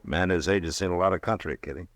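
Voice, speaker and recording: An actor playing a steady, dryly skeptical American frontier marshal in a radio western, speaking in an understated, unhurried way. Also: male voice, low-pitched, no room sound. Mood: dry, wry. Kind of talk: old-time radio western acting.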